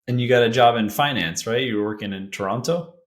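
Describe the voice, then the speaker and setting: A man's voice: mid-range voice, fast. A man hosting a casual business interview, chatty and friendly, asking leading questions. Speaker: interview host, founding partner.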